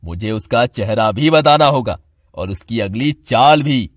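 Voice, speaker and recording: A man's voice: medium pitch, expressive, unhurried, no room sound, clean studio sound, natural voice. A man voicing a sinister, scheming old sorcerer in a cartoon, with a menacing, commanding delivery. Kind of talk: Hindi cartoon voice acting.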